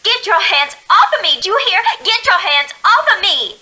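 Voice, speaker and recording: A woman's voice: very high voice, animated, normal speed, bright, forceful tone, slight background noise, exaggerated voice, rough recording. A woman acting a sassy, blunt, fed-up young female hitchhiker in a radio drama. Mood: angry.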